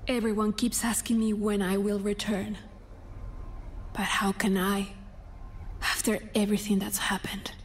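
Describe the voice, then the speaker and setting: A woman's voice: mid-range voice, lightly acted. An actress playing a guarded, brooding, blunt young warrior woman in a video game. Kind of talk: video game character dialogue. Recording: faint game ambience noise.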